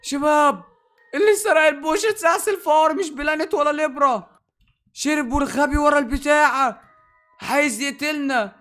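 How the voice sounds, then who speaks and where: A young man with an Egyptian Arabic accent giving excited gameplay commentary, often repeating words in quick bursts. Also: extremely high pitch. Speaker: gaming YouTuber, host.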